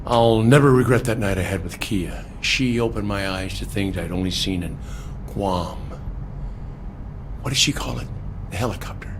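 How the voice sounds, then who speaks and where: An actor playing a jaded military commander, matter-of-fact, in a scripted game audio log. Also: male voice, on the low side, normal speed, background noise, lightly acted. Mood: wistful, amused.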